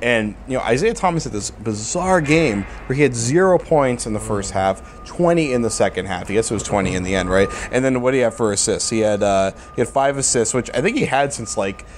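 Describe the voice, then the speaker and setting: A man's voice: mid-range voice, lively intonation, brisk, few pauses, a little hiss or hum. A man hosting a casual sports talk show.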